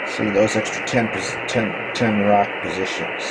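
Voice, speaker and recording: A man giving a casual, hands-on video walkthrough as a hobbyist, explaining technical gear in a matter-of-fact, halting way into a handheld camera. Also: lowish voice, fairly even intonation. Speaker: ham radio hobbyist showing his equipment. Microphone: handheld camera microphone. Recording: clearly noisy handheld camera recording.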